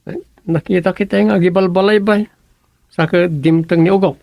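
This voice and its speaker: An actor playing a principled, mildly defensive husband with a Filipino Ilocano accent, explaining himself earnestly in a radio comedy drama. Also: male voice, sits high, fairly even intonation, moderate pace, vocal fry, dark tone, no echo. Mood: reflective.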